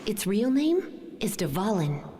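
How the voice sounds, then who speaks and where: A woman voicing a coy, teasing, languid librarian mage in a video game, with a breathy delivery. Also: mid-range voice, sing-song, slow, mellow, slight background noise. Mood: grave, explanatory. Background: music.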